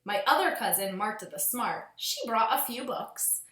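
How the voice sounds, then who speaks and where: Bright-voiced woman reading to children, roomy sound. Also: fairly high pitch, very animated, normal speed. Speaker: schoolteacher reading aloud to pupils. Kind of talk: children's storybook read-aloud.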